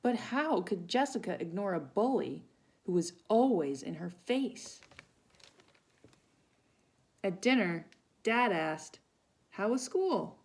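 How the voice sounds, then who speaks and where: A woman with a fairly low, creaky voice reads a picture book aloud to children. She takes her time, shaping the sentences expressively and speaking directly to her young listeners. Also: animated, slow pace, pauses often, darkish tone. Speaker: volunteer storybook reader for children. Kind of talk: read-aloud children's story with asides to listeners.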